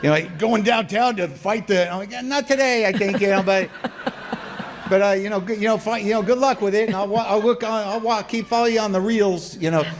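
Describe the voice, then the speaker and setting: An older male comedian speaking in a halting, wry, rambling way during an interview. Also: high-pitched, a little hiss or hum. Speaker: comedian guest. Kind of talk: casual interview talk.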